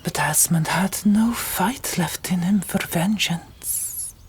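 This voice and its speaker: A woman narrating an audiobook in a whispered ASMR style, with deliberate, dramatic storytelling. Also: on the low side, slightly rough.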